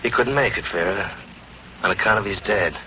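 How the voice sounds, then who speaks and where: A man's voice: on the low side, fairly quick, pushed and bright. An actor playing a bitter, betrayed man turned vengeful killer in a sardonic, hard-boiled 1940s radio crime drama. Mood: menacing, sardonic. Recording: dull, noisy old radio recording.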